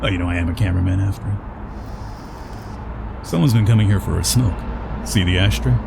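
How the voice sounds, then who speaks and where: A man's voice: sits low, a little fast, vocal fry, noisy background. A man doing a let's play, reading game dialogue aloud and commenting in a casual, hesitant, conversational way.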